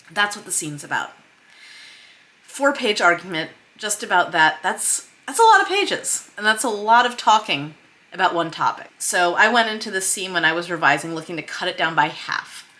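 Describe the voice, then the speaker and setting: A woman vlogger talks the viewer through her work in a chatty, explanatory style. Her voice is bright and forceful, sitting a little lower than most women's. She leans on key words for emphasis. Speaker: host of a filmmaking vlog series. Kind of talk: explanatory vlog to camera with screen share.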